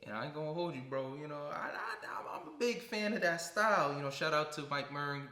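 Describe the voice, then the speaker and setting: Animated joking podcast host, man. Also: fairly high pitch, fairly quick, roomy sound. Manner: animated, jokey, mixes in broken Spanish. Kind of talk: casual interview chat.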